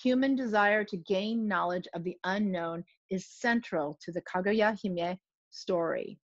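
A woman's voice: mid-range voice, a little slow, dry recording. A middle-aged woman hosting, giving a scripted introduction from her living room at home. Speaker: host introducing a guest reader. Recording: slightly dull, lo-fi sound.

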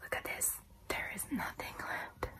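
A young woman whispering softly and gently in a casual, unhurried ASMR style as she presents things close to the mic. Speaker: ASMR video host. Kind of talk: soft-spoken whispered ASMR product show-and-tell.

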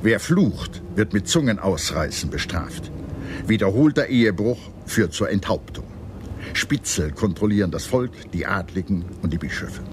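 Male history narrator, low register, measured formal scripted read, noisy background. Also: animated. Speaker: documentary narrator.

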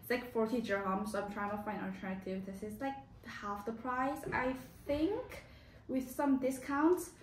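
A young woman talks casually to the camera as a lifestyle vlogger. Her voice sits a little high and her phrasing is informal, dotted with "like". The small kitchen gives a clear echo, with some noise behind her. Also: moderate pace. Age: young adult. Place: small home kitchen, indoors. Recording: echoey room, clear background noise.

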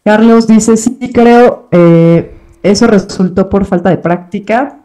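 A young woman co-hosts a live art stream from home. She reads viewer comments aloud plainly. Her voice is mellow and dark, at a middle pitch. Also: takes it slowly. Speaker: co-host reading chat.

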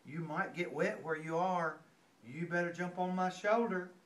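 A man's voice: sits high, normal speed, close to an everyday voice. An older man voicing a sly fox from a storybook, coaxing and falsely helpful. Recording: distant mic, echoey noisy room.

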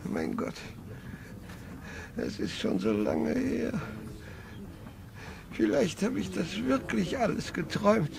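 Ein Schauspieler spielt in einem alten Hörspiel einen uralten, unruhigen Großvater, der langsam und stockend spricht. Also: male voice, high voice, huge pitch swings, a crowd in the background, heavily acted. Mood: wistful. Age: older. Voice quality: creaky, slow and halting. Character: very old man, grandfather, restless and fearful. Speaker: actor in a radio play. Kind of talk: 1950s radio drama acting.

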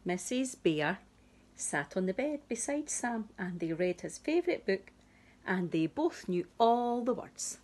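A woman in her thirties reading a picture book to young children expressively and deliberately, with dramatic pauses. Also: mid-range voice, very animated, a little mellow. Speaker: early years teacher reading to children. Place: small room at home, indoors.

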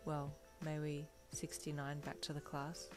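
A woman voicing an earnest, naive teenage boy in a storybook reading, speaking in a flat, formal, deliberate way. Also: low-pitched, faint music behind. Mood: earnest, deadpan.

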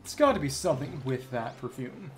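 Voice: mid-range voice, very animated, fairly quick. Game streamer, mid-range voice, casual commentary, musing aloud, game music behind. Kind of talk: casual gameplay commentary, reading dialogue aloud in fragments. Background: video game music.

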